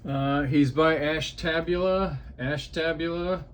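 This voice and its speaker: A man from Ohio chatting on ham radio from a portable outdoor setup, unhurried and halting with frequent fillers. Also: a little high, fairly even intonation, slight background noise. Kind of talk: casual radio chat.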